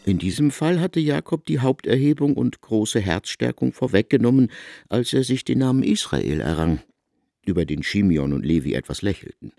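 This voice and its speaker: A man's voice: lowish voice, sing-song, normal speed, vocal fry, mellow, no room sound, clean studio sound. A man narrating a literary radio drama in a formal, articulate storytelling manner.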